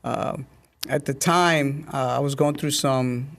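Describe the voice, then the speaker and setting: A man's voice: mid-range voice, steady tone, slow, vocal fry, fairly bright tone. A middle-aged man telling his story as a guest in a conversational interview. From Dominican family roots. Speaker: guest, army officer.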